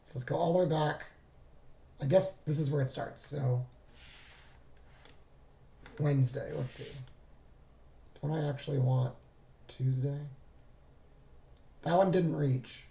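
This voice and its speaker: A man hosting a trading walkthrough who talks casually and thinks aloud, halting and correcting himself, and is losing his voice. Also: on the high side, vocal fry, mellow, reverberant. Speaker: trading streamer. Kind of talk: casual screen-share walkthrough.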